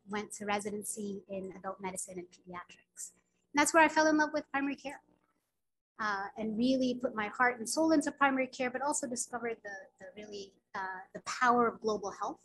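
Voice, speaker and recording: A woman's voice: on the high side, brisk, fairly bright tone, poor audio. A woman from Sudan, Arabic her first language, giving an earnest academic lecture over a video call. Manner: earnest, personal storytelling with frequent filler words. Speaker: physician giving a grand rounds lecture.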